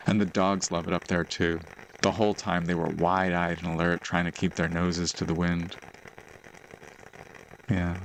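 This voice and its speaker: Man playing a gentle low creaky-voiced boyfriend. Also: slight background noise, rough recording. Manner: gentle, conversational, pausing for unheard replies. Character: affectionate easygoing boyfriend settling in by fireside. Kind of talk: roleplay audio monologue, intimate casual chat.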